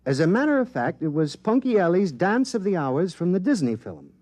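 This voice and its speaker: An actor playing an eager, absent-minded government scientist in a 1950s radio drama, explaining things in an animated way. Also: male voice, high-pitched, a little fast, no room sound. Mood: pleased, matter-of-fact.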